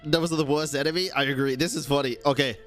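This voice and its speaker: A woman's voice: sits low, fairly even intonation, fast. A woman streaming a game, giving chatty, casual reactions and muttered exclamations. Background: game music.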